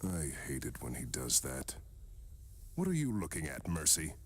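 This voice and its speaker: An actor voicing an arrogant, haughty tycoon villain in a superhero video game, sardonic and menacingly formal. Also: male voice, huge pitch swings, moderate pace, heavily acted. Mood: irritated. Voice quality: very deep, creaky. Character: arrogant, smooth villainous male business tycoon.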